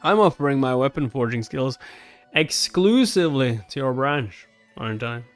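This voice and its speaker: A man's voice: medium pitch, lively intonation, moderate pace, faint music behind, close to an everyday voice. A man voicing a cocky, scheming young genius player from a comic, brash, teasing and emphatic. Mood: brash, insistent.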